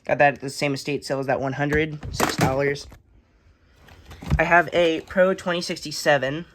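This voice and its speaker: A young man giving a casual, unscripted show-and-tell, halting and matter-of-fact as he lists items. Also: a little high, level tone. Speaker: hobbyist collector giving a tour. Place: indoors in a room, handling plastic bins. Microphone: handheld phone camera. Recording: noisy handheld recording with clattering.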